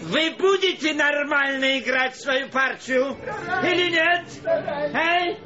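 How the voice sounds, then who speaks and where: An actor playing a hot-tempered, exasperated conductor in a radio play, scolding explosively in a shrill voice. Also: male voice, takes it slowly, highs cut off, music in the background. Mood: furious.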